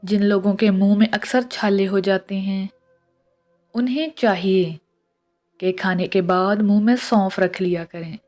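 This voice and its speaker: A woman reads scripted tips in a measured, instructional way, as a narrator of short pieces of advice. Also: medium pitch, steady tone, darkish tone. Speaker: narrator of household and health tips. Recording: voice-over with music behind. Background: music.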